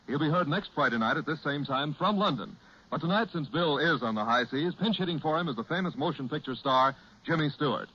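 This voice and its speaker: A man's voice: a little high, lively intonation, a little fast. An American man announcing on a 1940s radio show with polished, emphatic salesmanship. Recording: muffled, noisy 1940s radio broadcast.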